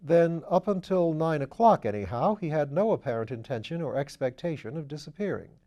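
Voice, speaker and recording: A man's voice: a little high, expressive, mellow, close to an everyday voice. A man reading aloud, voicing a witty, flippant aristocratic amateur detective with a theatrical manner. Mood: playful. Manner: playful, theatrical, quick-witted.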